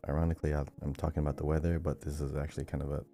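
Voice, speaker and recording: A man's voice: rumbling low, level tone, rapid-fire, dark tone, soft background music. A man from Hawaii co-hosting a podcast in casual, conversational talk. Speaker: podcast co-host.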